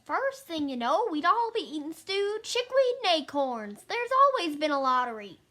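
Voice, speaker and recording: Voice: sits high, sing-song, normal speed, bright, forceful tone, heavily acted. A young woman in an amateur play voicing a proud, defiant old villager in a crotchety, emphatic manner. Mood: crotchety pride. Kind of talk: amateur one-act play acting. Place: filmed on a video call.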